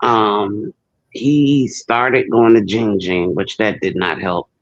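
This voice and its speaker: A woman's voice: rumbling low, fairly even intonation, slow pace, no room sound. A woman chats casually as a livestream co-commentator, taking her time and chiming in with agreement. The recording is dry and close, with a dull lo-fi tone.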